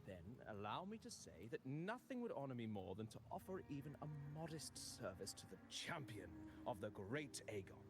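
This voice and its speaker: An actor playing an eloquent, composed wandering poet in a fantasy game, with ornate, measured, cryptic speech. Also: male voice, medium pitch, very animated, lightly acted. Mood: courtly. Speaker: traveling poet character. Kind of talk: theatrical fantasy game voice acting. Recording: game music behind voice.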